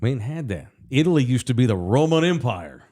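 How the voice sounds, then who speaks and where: A man with a mid-range voice hosts a casual sports talk show. He is wry and sardonic, and he runs the sponsor reads and viewer shout-outs. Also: mid-range voice, very animated, brisk. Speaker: host.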